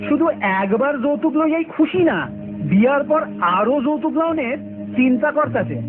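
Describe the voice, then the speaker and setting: A man's voice: very high voice, animated, moderate pace. A man voicing a worried, plain-spoken village father in a cartoon, with a Bangladeshi accent. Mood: resolved. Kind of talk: animated cartoon dialogue. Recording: muffled lo-fi cartoon audio, music behind.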